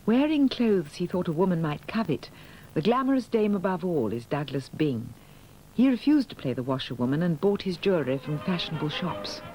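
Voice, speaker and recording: A man narrating a documentary in a measured, wry tone. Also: fairly high pitch, sing-song, a little mellow, slight background noise, low-quality recording.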